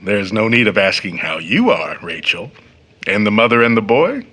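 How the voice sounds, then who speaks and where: A man's voice: on the low side, sing-song, moderate pace, pushed and bright, slightly rough, full character voice. An actor playing a polite, earnest and sympathetic working man in a stage-style audio drama. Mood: courteous, friendly. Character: courteous, sympathetic working man, family friend.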